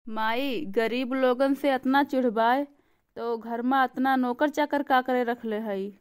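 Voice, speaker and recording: A woman's voice: on the high side, fairly even intonation, a little slow, smooth, lightly acted. A woman voicing a principled young son in a cartoon, earnest and argumentative, with an eastern Hindi-belt accent. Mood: earnest, reasoning. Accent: eastern Hindi belt (Awadhi-Bhojpuri). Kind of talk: amateur cartoon voice acting.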